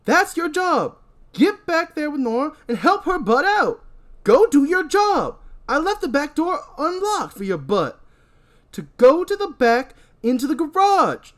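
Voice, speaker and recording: A woman voicing a bossy adult man who shouts crude orders. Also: sits high, big pitch swings, a little slow, voice pushed up. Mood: yelling.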